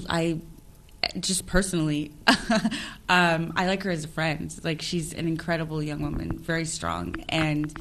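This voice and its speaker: Low-voiced actress in an easy interview. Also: female voice, a bit bright. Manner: thoughtful, restarts often, laughs easily. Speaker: actress interviewed about her film. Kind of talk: casual interview chat.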